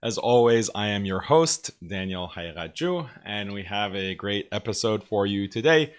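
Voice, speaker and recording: A man in his thirties, hoarse from a cold, hosting a casual livestream commentary show and talking to viewers with frequent pauses and religious phrases. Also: fairly low pitch, lively intonation. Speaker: host.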